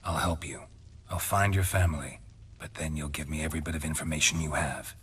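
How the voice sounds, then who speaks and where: An actor playing a stoic, terse warrior in a video game, with a husky, gravelly voice and deadpan manner. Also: male voice, rumbling low, a little fast, full character voice. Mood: stoic, wary. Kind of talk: dramatic video game acting.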